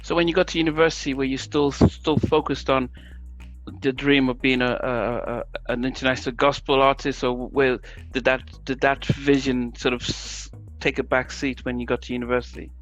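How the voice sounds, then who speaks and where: A man hosting an interview, asking questions in a halting way with repeated words. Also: mid-range voice, flat delivery, fairly bright tone. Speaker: interviewer host. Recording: dull lo-fi audio with faint music.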